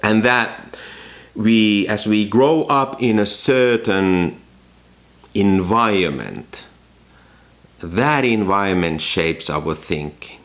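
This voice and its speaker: Slow, thoughtful man with a Finnish accent. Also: lowish voice, fairly bright tone. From Finland. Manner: thoughtful, pausing often with ums and restarts. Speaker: photography channel host. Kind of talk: reflective talk to camera. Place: home room with a piano.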